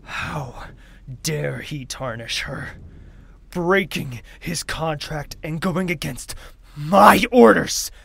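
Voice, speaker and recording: A man's voice: on the high side, takes it slowly, vocal fry, fairly bright tone, slightly rough, exaggerated voice. A man playing an earnest, proud young royal in a fantasy audio drama, speaking formally. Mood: furious. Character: lovestruck young male royal, formal, proud, easily wounded.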